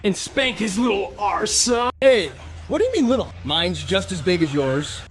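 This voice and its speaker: A man as a cocky, high-voiced teenage bully. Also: very animated, normal speed, a little hiss or hum. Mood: mocking. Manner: brash, sneering, mock-whining. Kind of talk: audio drama acting.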